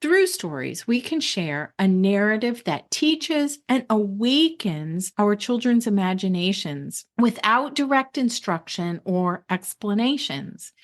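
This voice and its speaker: A woman podcast host with a mid-pitched voice delivers prepared narration. She speaks deliberately and leans on key phrases for emphasis. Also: sing-song. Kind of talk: scripted podcast narration about homeschooling.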